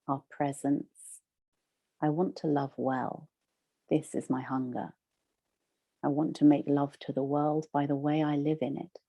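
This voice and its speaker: A woman leading a guided meditation as a teacher, soothing and measured, with long pauses between phrases. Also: low voice, dark tone.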